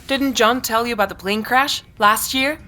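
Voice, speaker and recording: A woman's voice: a little high, moderate pace, sharp tone. A woman playing an anxious, stubborn, suspicious character in a naturalistic audio drama, earnest and easily stung. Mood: insistent, uneasy.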